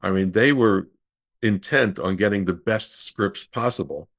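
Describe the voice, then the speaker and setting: A man in his sixties answering as an expert guest, explaining haltingly with many pauses and repeats. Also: lowish voice, animated, fairly bright tone. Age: 60s. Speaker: radio historian guest. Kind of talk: interview answers, recollection and explanation.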